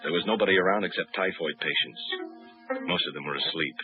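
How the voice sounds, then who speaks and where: An actor as a terse, deep-voiced pilot narrator. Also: male voice, quick pace, close to an everyday voice. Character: hard-boiled adult male freelance pilot, determined. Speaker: lead and first-person narrator. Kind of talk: 1950s radio drama acting. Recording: tinny old radio broadcast with music.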